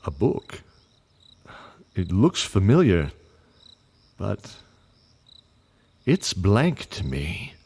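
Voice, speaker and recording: A man's voice: deep voice, sing-song, very slow, long pauses, warm tone, husky, heavily acted. A man playing a talkative elder in a fantasy audio drama. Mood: puzzled. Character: talkative elder man recounting his family's adventures.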